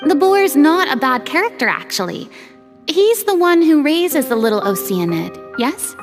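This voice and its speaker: A poised, gracious young artistic consultant speaks politely in a high voice. Music plays clearly underneath the game mix. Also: female voice, very animated, moderate pace. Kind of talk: game cutscene dialogue.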